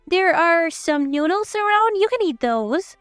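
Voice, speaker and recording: Storybook role: a woman doing a high, sharp-voiced bossy older mother-in-law, cold and contemptuous. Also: lively intonation, measured pace, no room sound, soft background music, exaggerated voice. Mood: cold, commanding. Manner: commanding, contemptuous.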